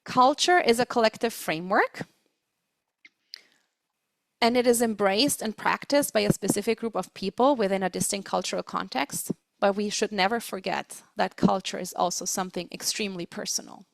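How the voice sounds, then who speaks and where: A woman giving an explanatory webinar lecture as a trainer, speaking deliberately and stressing her key words. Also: medium pitch, gravelly, no room sound. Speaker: webinar presenter, interpreting trainer.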